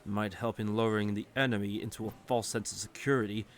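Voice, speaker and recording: An actor playing a haughty, sardonic villain commander in a roleplay audio drama, condescending and drawling, with mock-sweet menace. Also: male voice, lowish voice, fairly even intonation, fast, a little hiss or hum, natural voice. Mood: sarcastic, threatening.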